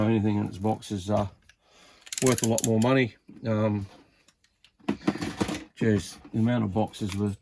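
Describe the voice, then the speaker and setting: A man's voice: fairly low pitch, a little mellow. A man giving a casual, informal unboxing commentary, muttering to himself as he handles things. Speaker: hobbyist reviewer of vintage CB radios. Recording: clear background noise, handling clicks.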